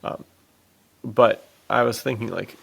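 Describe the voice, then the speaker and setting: A man's voice: medium pitch, a little slow, gravelly, husky. A man co-hosting a podcast, chatting casually and informally about movies. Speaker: podcast co-host.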